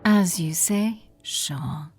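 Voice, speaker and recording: A woman voicing an icy, seductive villainess in an audio drama, measured and silkily menacing. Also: on the low side, over music. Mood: cool, obliging. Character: icy, seductive villainess posing as polite teacher.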